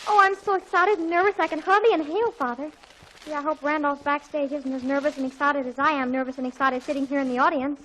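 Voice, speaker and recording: Teenage girl, high voice, quick, excitable, dramatic, vintage radio comedy. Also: slight background noise, poor audio. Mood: nervous, excited. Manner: breathless, gushing, emphatic. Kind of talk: 1940s radio comedy acting. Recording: old 1940s radio broadcast.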